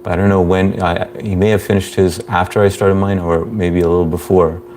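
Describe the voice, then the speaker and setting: A very low-voiced man hosts a relaxed live stream, reading viewer comments aloud and shouting out names. His delivery is flat and monotone, broken by easy chuckles. A faint hum sits under the recording. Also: a little fast. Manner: laid-back, reads chat aloud, chuckles often.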